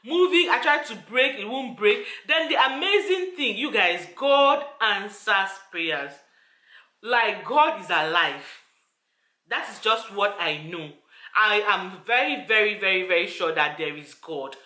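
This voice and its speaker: A woman's voice: mid-range voice, lively intonation, unhurried, sharp tone, reverberant. A woman telling a personal story to her viewers, earnest and repetitive, often calling out to them directly. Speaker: vlogger.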